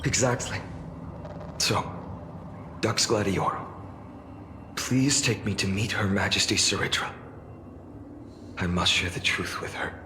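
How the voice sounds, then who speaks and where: An actor playing a solemn, mysterious wandering swordsman in a video game, speaking gravely, courteously and with deliberation. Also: lowish voice, unhurried, long pauses, background noise, close to an everyday voice. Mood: earnest. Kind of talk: video game voice acting and narration. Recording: echoey, long reverb, music behind.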